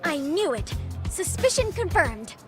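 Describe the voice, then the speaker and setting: A woman's voice: very high-pitched, very animated, a little slow, music in the background, full character voice. A woman voicing a haughty, dramatic teenage schoolgirl detective in a video game, accusatory and wordy. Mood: triumphant. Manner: theatrical, accusatory, formal wordy phrasing.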